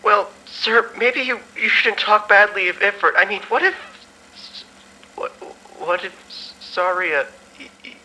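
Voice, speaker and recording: Bright, hesitant young intern played by a man. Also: sits high, a little slow, heavily acted, low-quality recording. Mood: nervous, placating. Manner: hesitant, stammering, placating. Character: timid, kindly young intern, deferential to his boss. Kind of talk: amateur audio drama acting.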